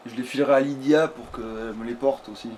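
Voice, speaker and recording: A man's voice: medium pitch, steady tone, fairly quick, darkish tone. A man giving casual, improvised video game commentary in a crude, swear-heavy way. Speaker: let's player. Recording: clearly noisy background.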